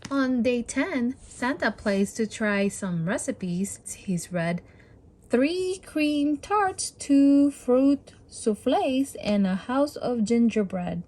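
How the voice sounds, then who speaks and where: A woman with a fairly high voice reads a children's storybook aloud. She takes her time, with very slow, deliberate phrasing. Her delivery is expressive and encouraging, pitched for young listeners.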